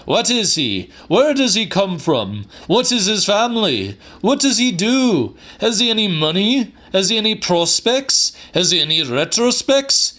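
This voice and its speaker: Casting: male reader as exasperated bedridden old gentleman, grandiloquent and emphatic, voice pushed high, for literary audiobook. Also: very animated, unhurried, few pauses, exaggerated voice. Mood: indignant. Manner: theatrical, indignant, emphatic questioning.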